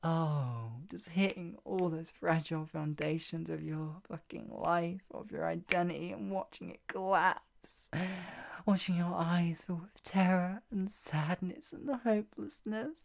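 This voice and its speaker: An actor playing a sadistic, gloating yandere in an ASMR roleplay, with a breathy, husky voice that often drops to a whisper. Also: medium pitch. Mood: gloating, excited. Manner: menacing, slow deliberate phrasing with sighs. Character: sadistic, gloating, murderous yandere obsessive taunting a captive. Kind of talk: improvised ASMR roleplay, intimate monologue.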